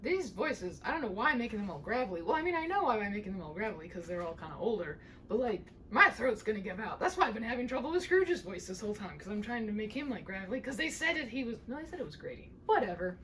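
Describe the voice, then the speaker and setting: A woman narrates like a hobby audiobook reader, informal and self-deprecating. Her intonation is highly varied. The voice sits some distance from the microphone, with slight noise in the recording. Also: big pitch swings, fast, few pauses, a bit bright. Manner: chatty, self-deprecating, rambling asides. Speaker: amateur audiobook reader and channel host. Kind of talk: storybook narration with casual asides. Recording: distant mic with faint noise.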